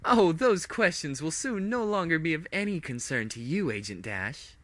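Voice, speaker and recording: A man's voice: fairly high pitch, lively intonation, normal speed, fairly bright tone, no room sound, voice pushed up. A man voicing an insane female pony villain princess in a story reading, gloating and cooing with theatrical menace. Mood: smug menace.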